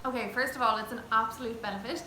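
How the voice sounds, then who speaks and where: A young Irish woman, a traditional singer, telling stories in a lively, chatty way with restarts and asides. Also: a little high, rapid-fire, soft background music. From Ireland. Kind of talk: casual chat. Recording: echoey room with faint noise.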